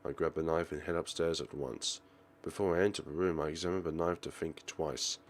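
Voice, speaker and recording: A man's voice: very deep voice, steady tone, brisk, a little hiss or hum. A man reading a visual novel aloud as a let's player, theatrical, sometimes stumbling and rereading phrases. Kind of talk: game commentary with dramatic read-aloud narration.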